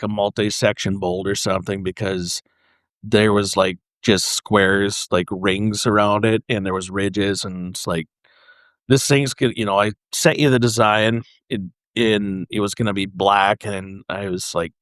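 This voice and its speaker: A man speaking as a podcast guest, explaining his craft casually in halting, piecemeal phrases with frequent pauses. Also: on the low side, fairly even intonation, fairly bright tone, no room sound, studio quality. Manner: halting, pausing, piecemeal delivery. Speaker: podcast guest, custom paint artist.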